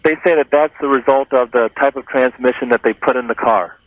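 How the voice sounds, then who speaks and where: A man phones in to a talk radio show and lays out a complaint at a quick clip. His delivery is conversational. The line is narrow and tinny, with a dry sound and no room around it. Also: medium pitch, no echo.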